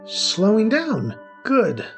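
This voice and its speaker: An anxious, boastful wizard in love, acted by a male narrator, speaks in a raised, flustered voice with halting phrases. It is a fantasy radio story with clear music underneath. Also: high voice, huge pitch swings, pitched above the performer's own voice, heavily acted. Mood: eager, nervous. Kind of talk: audio drama character acting.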